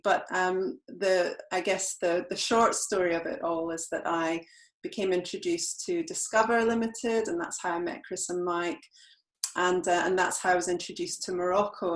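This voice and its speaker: A woman from the UK with a British accent, giving an informal, reflective presentation as a charity representative and explaining as she goes. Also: lowish voice. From United Kingdom. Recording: roomy, echoing sound.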